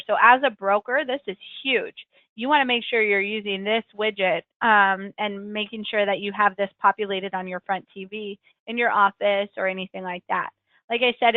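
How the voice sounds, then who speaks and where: Chatty woman on a tinny line, slightly raspy. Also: on the high side, dry recording. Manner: friendly, chatty, frequent ums and self-corrections. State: getting over a cold, slightly raspy. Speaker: software trainer hosting a weekly webinar. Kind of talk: screen-share tutorial walkthrough. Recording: tinny, dry call-line audio.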